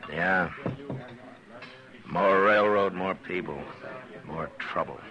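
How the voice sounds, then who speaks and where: An actor playing a weary, steady frontier marshal who talks in a calm, unhurried and dry way, in a vintage radio drama. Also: male voice, low voice. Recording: dull old radio broadcast, crowd behind.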